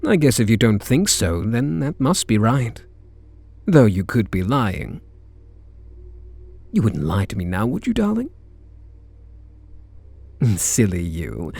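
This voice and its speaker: A British man playing a charming, teasing kidnapper who is softening toward his captive, in an intimate ASMR roleplay. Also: medium pitch, gravelly, no room sound, full character voice. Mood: teasing, flirtatious. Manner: teasing, flirtatious, murmuring pet names.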